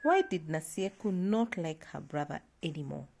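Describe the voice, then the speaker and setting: A woman's voice: deep voice, sing-song, normal speed, vocal fry, darkish tone, slight background noise. A woman speaking casually as a parent teaching at home, prompting and asking questions in an attentive, encouraging way. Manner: attentive, encouraging, often murmuring agreement.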